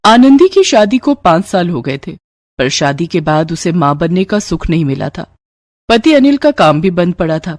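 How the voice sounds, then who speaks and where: A woman's voice: fairly low pitch, sing-song, moderate pace, darkish tone, no room sound, clean studio sound. A woman storyteller reading scripted narration of a devotional moral tale.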